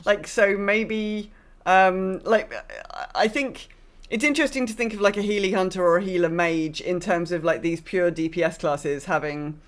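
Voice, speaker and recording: A woman's voice: fairly low pitch, moderate pace. A woman co-hosting a podcast in a casual gaming discussion, opinionated and thinking aloud, often repeating words for emphasis. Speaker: podcast co-host.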